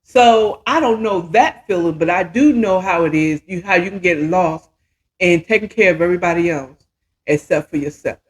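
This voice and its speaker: A woman's voice: lowish voice, moderate pace, rough recording. A woman in her fifties telling a story casually as a podcast host, chatty and emphatic, often checking in with the listener. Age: 50s.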